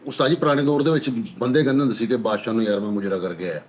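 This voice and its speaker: A man's voice: medium pitch, steady tone, rapid-fire. A man with a Pakistani Punjabi accent in casual discussion as a panelist on a comedy show.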